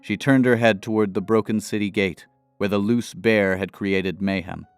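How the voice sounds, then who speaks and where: A man narrating a scripted fantasy adventure in a dramatic, measured storytelling manner. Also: on the low side, fairly even intonation, clean tone, no echo, faint music behind.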